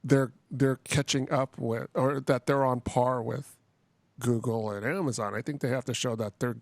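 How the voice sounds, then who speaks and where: Male podcast host, mid-pitched voice, conversational and a little halting, dry studio sound. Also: fairly even intonation, vocal fry, dry recording. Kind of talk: casual tech podcast discussion.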